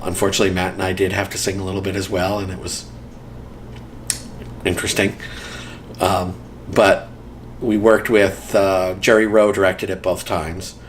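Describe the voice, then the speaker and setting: A man's voice: lowish voice, slight background noise. A man in a casual interview, reminiscing about community theater, rambling with frequent ums and dry humor.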